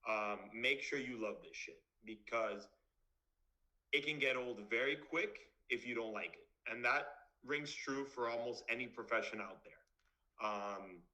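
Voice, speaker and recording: A man's voice: mid-range voice, pushed and bright, low-quality recording. Blunt young man giving advice. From Miami, Florida. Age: 20s. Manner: blunt, straightforward, casual with fillers. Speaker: dentist giving a talk. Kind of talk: online presentation to students.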